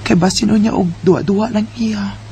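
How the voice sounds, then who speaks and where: A woman's voice: mid-range voice, steady tone, fairly quick, warm tone, natural voice. A woman with a Filipino Bisaya accent playing a shy, hesitant young woman in an amateur radio drama. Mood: wistful, longing. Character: Mika, shy, hesitant young woman guarding her feelings. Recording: noisy homemade radio drama recording.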